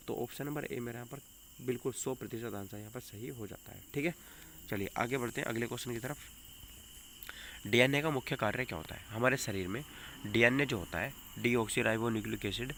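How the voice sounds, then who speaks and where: A man's voice: mid-range voice, creaky, fairly bright tone. A man with a North Indian accent teaching an exam coaching lesson, repeating questions and answers for emphasis and asking rhetorical questions. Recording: noticeable background noise.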